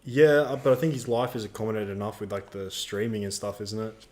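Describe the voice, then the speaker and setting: A man's voice: lowish voice, flat delivery, brisk, a little mellow. A young man co-hosting a live Q&A stream, chatting in a laid-back, slangy way. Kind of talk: casual live-stream chat.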